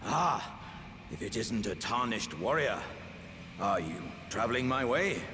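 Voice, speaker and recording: A man's voice: medium pitch, very animated, measured pace, background noise. A man with a British accent voicing a hearty, pompous, good-natured living warrior jar in a theatrical fantasy game style. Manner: grandiose, jovial, old-fashioned gentlemanly phrasing. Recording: music behind the voice.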